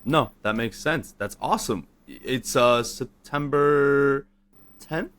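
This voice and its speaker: A man's voice: medium pitch, slow, a bit bright. A man hosting a casual, conversational interview. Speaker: podcast interviewer.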